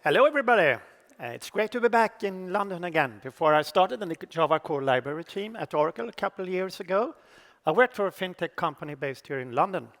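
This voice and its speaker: A middle-aged man with a Swedish accent presents a technical talk on a conference stage. His voice sits fairly high with a gravelly edge, and he talks haltingly, often pausing on fillers. The sound carries a long reverb from the large hall. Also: animated, fairly bright tone. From Sweden. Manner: halting, with frequent "uh" fillers and restarts. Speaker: conference speaker.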